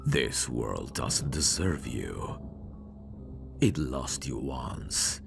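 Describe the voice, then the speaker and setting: A possessive mad scientist, acted by a man for an ASMR roleplay, murmurs in an extremely low, gravelly voice. He is creepy yet doting, intimate and theatrical, with a breathy edge and lively swings of intonation. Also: very slow, heavily acted. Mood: possessive, tender. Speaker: ASMR voice actor. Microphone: close microphone. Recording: close-miked voice over clear music. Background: music.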